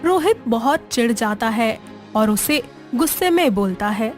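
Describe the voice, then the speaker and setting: Higher-pitched woman narrating a story. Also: expressive, a little slow, faint music behind. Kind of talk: scripted children's moral-story narration.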